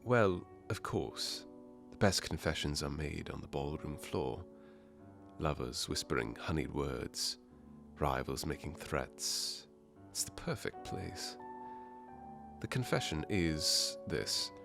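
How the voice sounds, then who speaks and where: A man's voice: extremely low pitch, expressive, takes it slowly, gravelly, music in the background, full character voice. A man playing a charming, teasing masked nobleman in a romantic ASMR fairytale roleplay, suave and playful with courtly phrasing. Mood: flirtatious, teasing.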